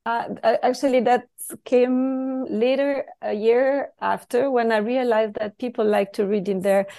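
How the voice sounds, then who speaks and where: French-accented woman, creaky voice. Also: fairly high pitch, steady tone, unhurried, warm tone. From Switzerland. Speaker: podcast guest, expat coach. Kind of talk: conversational interview.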